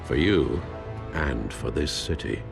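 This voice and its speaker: A loyal old English butler, hurt but dignified, in a dramatic video game. His British voice is low, with lively rises and falls. He speaks in a measured, fatherly way over clear background music. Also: full character voice. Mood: earnest, fatherly. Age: older.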